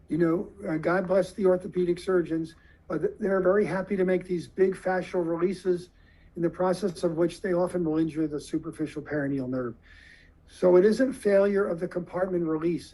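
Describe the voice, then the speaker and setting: A man giving a lecture to surgeons, explaining slowly and deliberately with questions to the audience. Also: high voice, darkish tone, slightly rough, rough recording.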